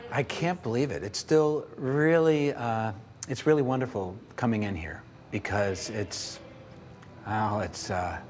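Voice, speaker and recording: A man, an actor, speaking in an awed, halting way with fillers. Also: lowish voice, darkish tone, slight background noise.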